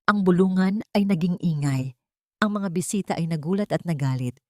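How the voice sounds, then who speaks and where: A woman's voice: fairly low pitch, moderate pace, mellow, dry recording, studio quality. A woman reading a scripted, dramatic romance story as its narrator.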